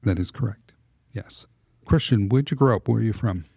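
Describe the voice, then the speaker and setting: The man at the head of a podcast table, casually putting questions to guests in a mellow voice with no room echo. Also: on the low side, moderate pace, creaky, studio quality. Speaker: podcast host. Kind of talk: casual interview.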